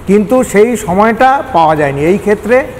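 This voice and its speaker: High-voiced older Bengali man in echoey room. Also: lively intonation, brisk, darkish tone. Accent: Bengali from Kolkata. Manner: explains step by step, mixing in English technical phrases. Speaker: expert guest, former air traffic control manager. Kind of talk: explanatory news interview answers. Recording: echoey hall with background noise.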